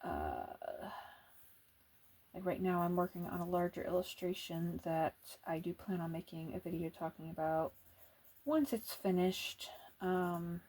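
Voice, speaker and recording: A soft-spoken woman doing casual ASMR chat as an artist at work, talking in an unhurried, musing way. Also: on the low side, animated. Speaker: illustrator and comic artist narrating a drawing video.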